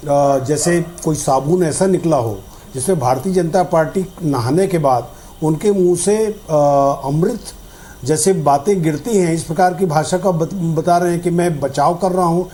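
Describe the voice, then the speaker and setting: High-pitched indignant male political debater. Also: unhurried, mellow. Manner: indignant, rhetorical questioning. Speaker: party spokesman on a debate panel.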